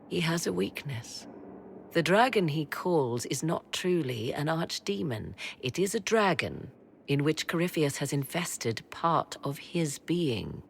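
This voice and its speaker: Casting: proud fantasy-game sorceress, deep female voice, slow and deliberate, steeped in ancient lore. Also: gravelly, a little hiss or hum, heavily acted. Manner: formal, theatrical, proud and deliberate. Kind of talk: dramatic fantasy game acting.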